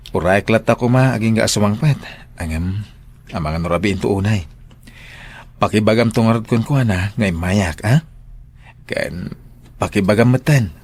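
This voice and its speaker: A man's voice: lowish voice, a little mellow, slightly rough. A man acting as a patient, polite suitor in an audio drama, with an Ilocano accent.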